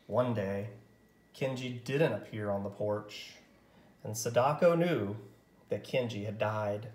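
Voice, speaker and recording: A man reading a picture book story aloud in a gentle, measured way with dramatic pauses. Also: lowish voice, echoey room. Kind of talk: scripted story narration, read aloud.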